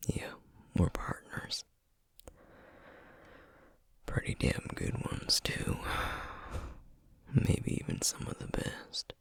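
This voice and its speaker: A man playing a tired, tender sorcerer boyfriend and single dad with a Southern US accent, speaking in a hushed, husky, gentle and halting way for an intimate ASMR roleplay. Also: medium pitch, no echo, exaggerated voice. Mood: fond, teasing.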